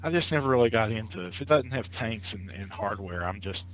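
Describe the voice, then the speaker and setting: A man's voice: fairly low pitch, animated, fairly quick, slight background noise, poor audio. A man in his late thirties, a guest chatting casually over an internet call, rambling with lots of filler words and restarts. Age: late 30s. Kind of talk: casual conversation.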